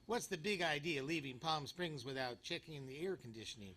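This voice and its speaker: An actor playing a hapless, griping urban cowboy with western talk in a live radio comedy sketch. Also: male voice, on the high side, a little fast, pushed and bright. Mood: grumbling. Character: Rusty, grumbling hapless male urban cowboy.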